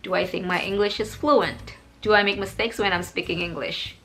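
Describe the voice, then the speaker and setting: Young woman, Indonesian accent, bright clear voice, chatty and reflective, faint music underneath. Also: medium pitch, steady tone, normal speed. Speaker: English teacher vlogger. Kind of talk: casual talk to camera.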